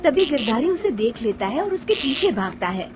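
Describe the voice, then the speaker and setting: A woman's voice: high-pitched, big pitch swings, moderate pace. A woman narrator reading a scripted children's story. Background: music.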